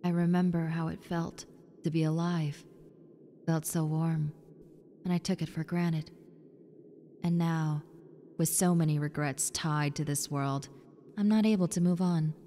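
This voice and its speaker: A woman voicing a friendly, needy young female ghost in an intimate roleplay, softly coaxing the listener with hesitant pauses. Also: low voice, steady tone. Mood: wistful, gentle. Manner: soft, coaxing, hesitant pauses, sometimes near whisper. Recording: long reverb, clear background noise and ambience.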